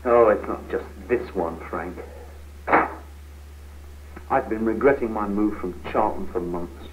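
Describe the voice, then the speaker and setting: An actor playing a frustrated, ambitious young British office worker, earnest and argumentative, in a 1970s training film. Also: male voice, fairly low pitch, unhurried, natural voice. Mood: bitter, frustrated. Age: young adult. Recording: tinny, noisy 1970s film soundtrack.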